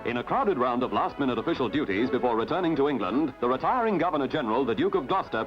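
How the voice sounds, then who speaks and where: A man's voice: mid-range voice, lo-fi sound. A man reading scripted newsreel narration in a formal, declamatory way over music. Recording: band-limited old newsreel soundtrack.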